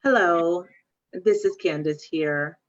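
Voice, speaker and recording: A woman's voice: fairly low pitch, slow pace, dull audio. A woman giving a brief self-introduction on a panel.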